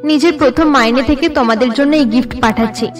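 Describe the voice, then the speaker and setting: A high-pitched young cartoon woman played by a female narrator, the dutiful elder sister. She is earnest and considerate, with faint music behind her. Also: fairly quick, natural voice. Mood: apologetic. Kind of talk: Bengali cartoon dialogue.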